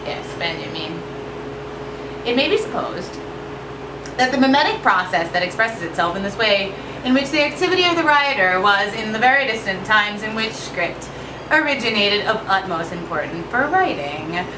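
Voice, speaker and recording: A woman poet giving an expressive live poetry reading at an evening event. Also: normal speed. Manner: expressive, shifting pitch and pace for effect. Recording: roomy echo from far off with noise.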